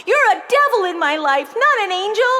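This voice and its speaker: Brainy little girl, very high voice, swooping melodrama, children's audio play, long reverb. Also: sing-song, moderate pace, bright, forceful tone, heavily acted. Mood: enraged, betrayed. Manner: melodramatic, pleading. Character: nine-year-old girl, brainy, emotional, fearful, dramatic.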